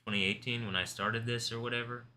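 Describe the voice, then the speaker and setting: A man hosting a live stream, talking in an intense, rambling way, confrontational at times and soft-spoken at others. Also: medium pitch, fairly even intonation, quick pace, slight background noise. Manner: calls himself soft-spoken. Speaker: livestream host.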